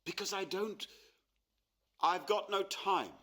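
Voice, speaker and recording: An actor in a radio play voicing a proud, embittered middle-aged painter, acerbic and theatrical. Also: male voice, high voice, slow pace, fairly bright tone, heavily acted. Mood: scornful refusal. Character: touchy, proud, embittered painter father of grown daughter.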